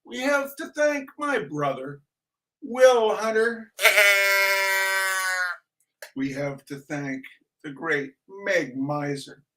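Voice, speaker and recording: A man's voice: a little high, very animated, slow pace, long pauses, poor audio. A man hosting a livestream in a casual, rambling way, with theatrical, mock-grandiose flourishes. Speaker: livestream host and reader. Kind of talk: casual rambling livestream introduction with shout-outs.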